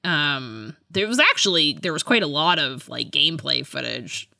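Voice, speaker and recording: A woman's voice: low voice, animated, normal speed, vocal fry, pushed and bright, no echo, studio quality. A woman co-hosting a podcast in casual chat, with a giggly, self-deprecating way of talking. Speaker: podcast co-host.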